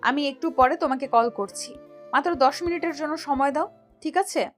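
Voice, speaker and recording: A woman acting a hesitant, sweetly vulnerable young woman in an audio story, speaking softly and questioningly. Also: high-pitched, normal speed, faint music behind, close to an everyday voice. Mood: sweet, coaxing. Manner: soft, plaintive, questioning.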